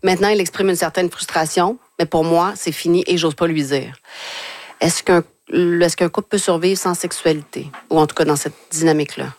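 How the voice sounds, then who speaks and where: Woman host, low voice, dry close sound, brisk reader of listener emails. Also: steady tone, no echo. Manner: reads listener emails aloud, brisk and curious. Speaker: radio host. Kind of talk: hosting a call-in advice show.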